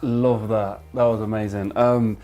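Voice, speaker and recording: Casual low-voiced male interviewer. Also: little pitch movement, unhurried, warm tone, lo-fi sound, soft background music. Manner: casual, hesitant, many fillers. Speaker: podcast host. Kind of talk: interview questions.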